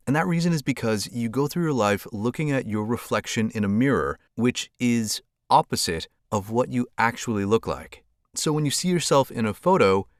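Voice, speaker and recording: A man with a deeper voice explains things calmly and clearly to camera, like a how-to lesson for creators. His voice is mid-pitched and sounds dry and close, with no room around it. He talks through a clip-on lapel mic. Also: no echo. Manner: calm, clear explanatory delivery. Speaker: content creator giving tips. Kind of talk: instructional talk to camera. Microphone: clip-on lavalier mic.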